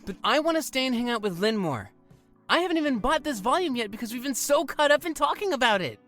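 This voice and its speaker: A woman's voice: high voice, sing-song, fast, fairly bright tone, faint music behind. A woman acting the part of an eager, defiant fifteen-year-old boy in an audio drama. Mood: pleading.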